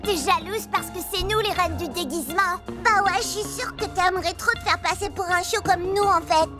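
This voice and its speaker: A woman's voice: very high voice, moderate pace, loud music behind the voice. A woman voicing a cheeky, bratty little girl animal in a French cartoon dub, childish in manner. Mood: taunting.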